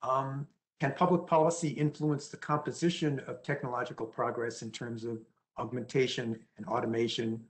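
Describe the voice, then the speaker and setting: A man chairs a conference session over a video call. He reads out audience questions in a measured way and paraphrases them. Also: medium pitch, flat delivery, slight background noise. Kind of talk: moderating Q&A.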